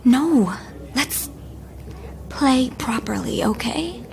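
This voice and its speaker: A woman playing a wary, serious young woman in a naturalistic audio drama, speaking in a guarded, hushed way. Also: high voice, expressive, very slow, gravelly, heavily acted. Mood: exasperated.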